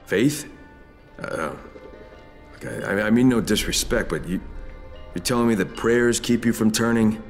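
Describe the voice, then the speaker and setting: A man's voice: lowish voice, measured pace, long pauses, faint music behind. Earnest, plain-spoken male game hero. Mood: earnest, puzzled. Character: earnest male stranger seeking medicine, dogged and plain-spoken. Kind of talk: video game acting.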